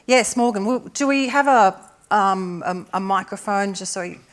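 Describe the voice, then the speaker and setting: A woman's voice: mid-range voice, normal speed. A woman moderating a panel discussion, conversational and a little hesitant.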